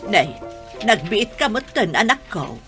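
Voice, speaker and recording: An actress with a Filipino accent playing a gentle, pious, watchful elderly mother in a radio drama. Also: mid-range voice, sing-song, unhurried, over music. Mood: pleading.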